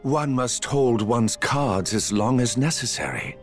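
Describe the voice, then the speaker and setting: An actor playing a smug, theatrical schemer from a dark order in a game, arch and haughty. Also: male voice, mid-range voice, a little slow, faint music behind, lightly acted. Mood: sly, probing.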